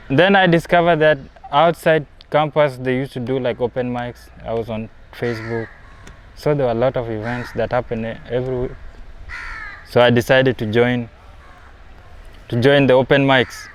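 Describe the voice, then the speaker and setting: A young man from South Sudan telling his story in a documentary interview. He is reflective and grows animated when he talks about performing. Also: mid-range voice, normal speed, soft background music. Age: young adult.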